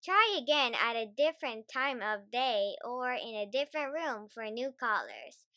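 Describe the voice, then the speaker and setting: A woman reading a children's storybook aloud, careful and expressive in her scripted narration. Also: on the high side, a little slow, no echo, studio quality. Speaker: storybook reader.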